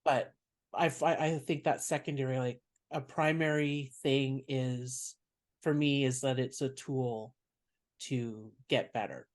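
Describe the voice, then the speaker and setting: A man from Canada with a soft voice, a stand-up comedian speaking as a podcast guest in reflective, unhurried talk. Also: medium pitch, steady tone. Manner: reflective, explains at length. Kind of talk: casual interview chat.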